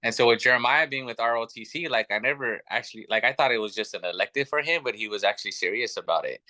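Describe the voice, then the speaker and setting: A man's voice: mid-range voice, quick pace, bright, forceful tone, no echo. A man in his thirties, a guest telling his family story in an earnest, chatty way with many fillers. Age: 30s. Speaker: podcast guest. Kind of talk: casual chat.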